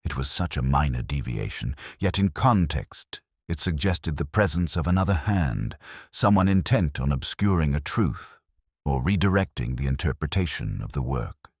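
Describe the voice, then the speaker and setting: Casting: male actor as contemplative, observant detective narrator for a mystery audio drama; extremely low register, varied intonation, measured literary delivery. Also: expressive, breathy edge, dry recording, clean studio sound, heavily acted. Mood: pensive, quietly grave. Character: pensive, observant male detective recounting his case. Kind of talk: scripted first-person detective narration.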